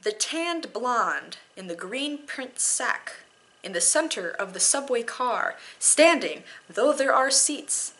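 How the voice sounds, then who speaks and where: A woman's voice: a little high, lively intonation, slow, fairly bright tone, rough recording. A woman doing an amateur dramatic poetry reading with casual asides, theatrical and self-deprecating in manner.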